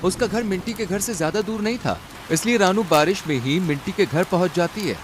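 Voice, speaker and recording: A man's voice: high-pitched, a little fast. A man narrating a cartoon story in a dramatic scripted style. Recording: rain and outdoor noise behind.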